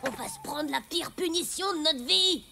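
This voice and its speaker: A woman voicing an excitable, curious young child in a French adventure cartoon, animated and eager. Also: high-pitched, moderate pace, vocal fry, exaggerated voice. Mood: agitated. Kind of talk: French animated series dubbing.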